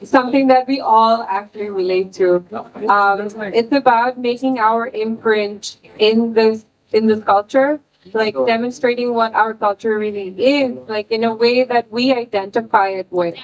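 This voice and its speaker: A woman's voice: fairly high pitch, fairly even intonation, a little slow, dull audio. A woman designer speaking on a panel in a casual, thoughtful way, with many fillers. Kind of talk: panel talk.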